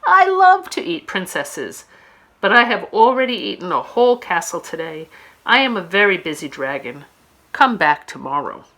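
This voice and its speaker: A woman's voice: lowish voice, very animated, moderate pace, a bit bright. A woman voicing a boastful, busy male dragon in a children's storybook, with a showy, emphatic delivery. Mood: gruff, dismissive. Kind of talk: children's storybook read-aloud.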